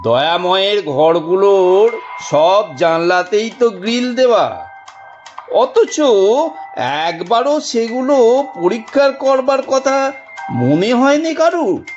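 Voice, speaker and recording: A man narrating a first-person detective story in a measured, dramatic manner. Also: high-pitched. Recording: dull, band-limited audio. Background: faint background music.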